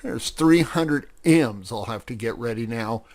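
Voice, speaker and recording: A man voicing an excitable, grumbling male pig in a children's story, exclaiming in exasperation. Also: mid-range voice, a little slow.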